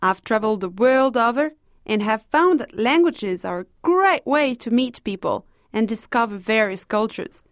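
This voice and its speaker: A French woman, native French speaker, co-hosting a scripted language course with clear, careful pronunciation. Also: a little high, expressive, moderate pace, no room sound, studio quality. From France. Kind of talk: scripted lesson speech.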